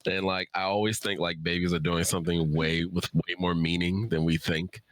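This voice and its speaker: Low-voiced man chatting casually, dry sound. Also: level tone, moderate pace, no room sound. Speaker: writer, guest. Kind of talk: casual livestream chat.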